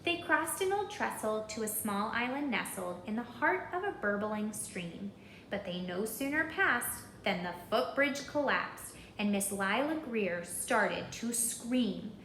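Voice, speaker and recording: Slow, lively woman reading a storybook. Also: on the high side, echoey room, slight background noise, rough recording. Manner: expressive, deliberate, rhythmic. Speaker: storybook reader. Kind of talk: children's read-aloud with questions to listeners.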